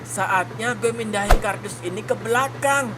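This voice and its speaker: A man's voice: high-pitched, normal speed, close to an everyday voice. A man playing a defiant young male student and worker in a cartoon drama, with a slangy, argumentative manner. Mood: relaxed muttering. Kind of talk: animated cartoon drama dialogue. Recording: music behind the voice.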